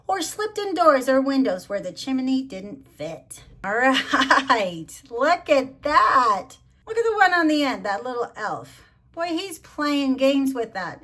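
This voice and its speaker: Higher-pitched woman reading a storybook aloud. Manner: addresses child listeners with gentle questions. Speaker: grandmother reading to children. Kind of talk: storybook read-along with chatty asides.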